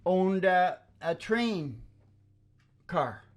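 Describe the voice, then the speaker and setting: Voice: mid-range voice, sing-song, very slow. A host of a music rehearsal show chatting casually, telling rambling, salty, folksy stories between songs. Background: acoustic guitar.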